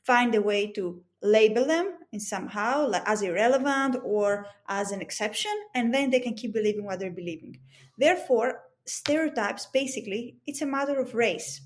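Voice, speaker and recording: A woman's voice: a little high, moderate pace. A woman with a Greek accent giving methodical, explanatory answers with examples as an interview guest. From Greece. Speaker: interview guest, business coach and psychology expert.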